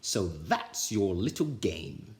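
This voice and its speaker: A man voicing a strict, scheming, witchy woman substitute teacher in a storybook, scratchy and drawn-out. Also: low voice, big pitch swings, a little mellow, full character voice. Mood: sly threat. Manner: slow, drawn-out commands.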